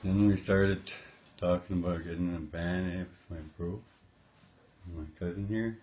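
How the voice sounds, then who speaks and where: A man in his fifties, soft-spoken, giving short, easygoing answers that often end in "eh", recorded at home on a close phone camera while sitting with his guitar. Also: deep voice, fairly even intonation, normal speed. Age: 50s. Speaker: musician interviewee. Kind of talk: casual interview chat. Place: small indoor room at home, playing guitar.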